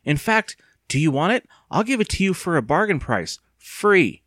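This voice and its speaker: A man's voice: mid-range voice, big pitch swings, a little fast, a bit bright, no echo, clean studio sound. A man voicing an anxious, impatient young boy in an audiobook, with a whiny, sarcastic, exclaiming manner. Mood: urgent, eager. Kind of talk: audiobook character voice.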